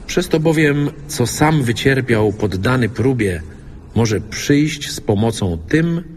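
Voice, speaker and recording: Expressive man reading scripture. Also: mid-range voice, slow, husky. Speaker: scripture reader.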